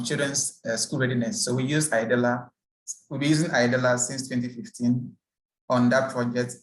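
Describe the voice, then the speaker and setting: Man, flat intonation, echoey room, video call, steady explanatory. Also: mid-range voice. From Ghana. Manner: steady, explanatory, with some fillers. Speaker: research staff. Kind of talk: self-introduction on a video call.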